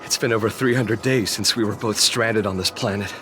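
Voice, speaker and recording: A man acts out a weary male warrior in a dramatic game scene. He speaks with earnest, measured resolve, an idealist who will not give up. Music plays clearly behind him. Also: on the low side, fairly bright tone, close to an everyday voice. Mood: weary resignation. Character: stranded male warrior, weary, steadfast, idealistic resistance fighter. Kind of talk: dramatic video game voice acting.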